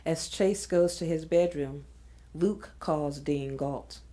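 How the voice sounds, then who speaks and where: Woman, narrator, low voice, dark soft tone, scripted scene-setting. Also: slow pace. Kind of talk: scripted narration, reading stage directions.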